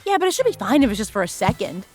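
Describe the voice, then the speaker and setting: A woman's voice: fairly high pitch, big pitch swings, racing, over music. A woman voicing a bossy, exasperated teenage girl in a cartoon parody, with a snappy delivery.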